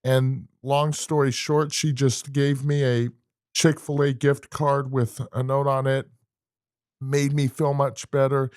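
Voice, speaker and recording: A man co-hosting a podcast in casual chat, earnest and halting, often repeating words and starting over. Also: medium pitch, level tone, darkish tone, dry recording, clean studio sound. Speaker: podcast co-host.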